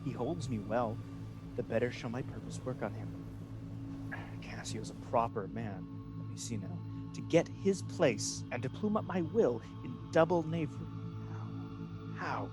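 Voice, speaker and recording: A man's voice: mid-range voice, natural voice. A man playing a scheming, resentful Venetian ensign in a Shakespeare radio play, persuasive and theatrical. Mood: quiet plotting.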